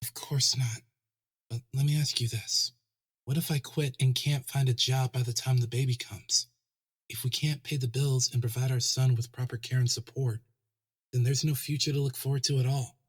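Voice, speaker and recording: A man's voice: mid-range voice, steady tone, moderate pace, breathy edge, natural voice. A man voicing a practical, placating young pony husband, earnest and a little defensive, in a fan fiction audio drama. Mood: pleading reasoning.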